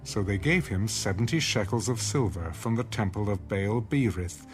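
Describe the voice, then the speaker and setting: A man narrating scripture from a script in a measured, formal storytelling manner. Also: fairly low pitch, lively intonation, soft background music. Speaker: audio Bible narrator.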